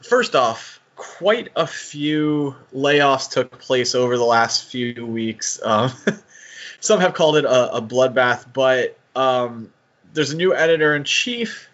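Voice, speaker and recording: A dull, slightly lo-fi recording of a man hosting a podcast. His pitch sits in the middle for a male voice. He talks casually and haltingly, feeling his way through his points in a conversational manner. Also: expressive, a bit bright. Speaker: podcast host.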